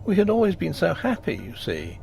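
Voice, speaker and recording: A man narrating a gothic ghost story in a measured, expressive reading voice. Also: a little high, vocal fry, a little hiss or hum.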